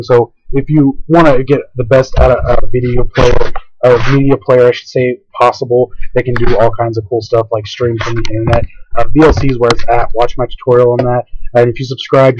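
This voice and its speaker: A hoarse man casually talking through a software tutorial, rambling and hesitant with lots of fillers. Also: mid-range voice, slight background noise, low-quality recording. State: hoarse, voice going out. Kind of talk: casual screen-recorded tutorial.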